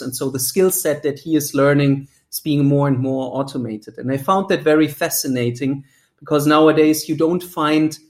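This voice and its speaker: A man in his thirties with a German accent, a startup founder answering podcast interview questions in a measured, articulate way. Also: a little high.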